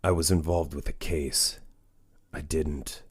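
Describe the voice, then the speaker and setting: A man playing a grim, stern masked vigilante in a comic dub, speaking tersely and gravely. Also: extremely low pitch, steady tone, takes it slowly, no echo, exaggerated voice. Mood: guarded. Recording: noisy helicopter and vehicle ambience.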